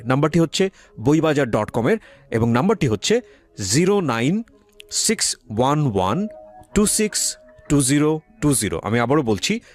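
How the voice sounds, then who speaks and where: Bangladeshi male radio host over music. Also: mid-range voice. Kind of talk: interviewing and addressing listeners. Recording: music under the voice. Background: background music.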